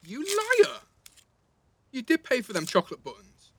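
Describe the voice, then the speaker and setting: A man's voice: extremely high pitch, huge pitch swings, a little slow, fairly bright tone, breathy edge, heavily acted. An actor playing a cheeky, blunt teenage boy with an English accent, teasing and slangy in a naturalistic audio drama. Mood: gleeful teasing.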